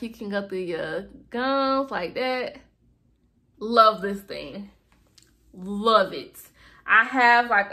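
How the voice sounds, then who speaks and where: A young woman vlogging to camera from her bedroom, chatty and expressive, with a slight lisp. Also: medium pitch. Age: young adult. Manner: chatty, expressive, lots of fillers. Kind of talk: casual chat to camera. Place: bedroom, indoors. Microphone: camera in front of her.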